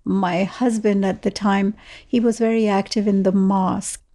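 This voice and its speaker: A woman's voice: mid-range voice, little pitch movement, moderate pace, dark tone. A woman in her seventies from Kashmir, telling her life story in an interview, reflective, with fillers and restarts. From Kashmir, India. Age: 70s. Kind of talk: personal storytelling.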